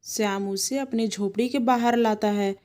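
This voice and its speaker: A woman narrating an animated moral tale in a scripted storytelling style. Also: on the high side, moderate pace.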